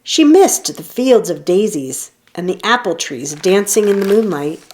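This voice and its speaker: A woman reading a children's story aloud in an expressive storytelling manner, leaning on key phrases. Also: medium pitch, unhurried, a little hiss or hum, rough recording. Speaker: storybook reader. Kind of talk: children's read-aloud narration.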